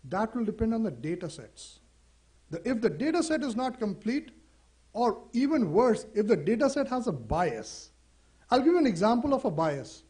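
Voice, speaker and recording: A man with a high-pitched voice and an Indian English accent speaks at a seminar. He talks in a loose, conversational way and keeps throwing rhetorical questions at the audience. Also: a little mellow. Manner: conversational, poses rhetorical questions, says "you know". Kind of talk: conference talk.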